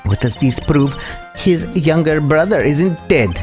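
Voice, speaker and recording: Man playing an arrogant, soft-toned prosecutor, theatrically scornful. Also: a little high, animated, measured pace, faint music behind, pitched above the performer's own voice. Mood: dismissive. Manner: condescending, theatrical, insulting. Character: foppish, arrogant male prosecutor sneering at a rival lawyer. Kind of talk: amateur voicing of courtroom video game text.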